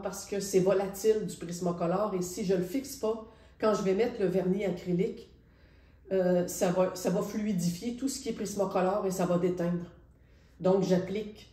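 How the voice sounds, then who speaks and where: A middle-aged woman with a Quebec French accent talks casually and reflectively about her painting, hesitating and pausing often. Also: medium pitch, warm tone. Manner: reflective, hesitant, with many pauses. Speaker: painter presenting her artwork. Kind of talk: casual spoken art presentation. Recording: roomy echo.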